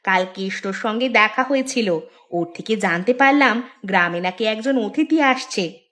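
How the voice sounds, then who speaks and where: Woman doing sneaky greedy village wife, cartoon, mid pitch, bossy n coaxing. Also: moderate pace, a bit bright, lightly acted. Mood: scheming. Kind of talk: Bengali cartoon dialogue.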